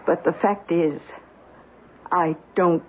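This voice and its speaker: An actress playing a bitter, proud, middle-aged wronged wife in an old-time radio drama, speaking in an articulate, cutting, deliberate way. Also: female voice, lowish voice, steady tone. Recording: tinny, noisy old radio recording.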